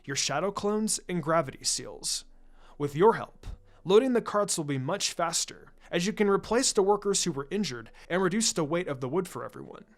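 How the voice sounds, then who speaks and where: A man voicing a calm, laid-back ninja teacher in a story reading, measured and matter-of-fact. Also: high voice. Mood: instructive. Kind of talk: storybook-style character reading.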